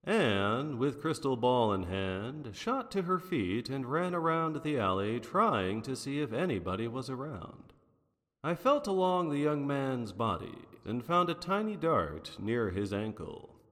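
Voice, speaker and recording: A man's voice: mid-range voice, very animated, a little slow, fairly bright tone. A man playing a wry, unflappable private detective in a 1940s noir radio drama, with a theatrical, wordy manner. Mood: storytelling. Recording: long reverberant hall sound.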